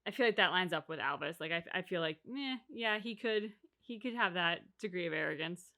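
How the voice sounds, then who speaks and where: Woman, lowish pitch, bright forceful tone, casual analytical chat, co-host. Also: expressive, fairly quick. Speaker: co-host of a reaction channel.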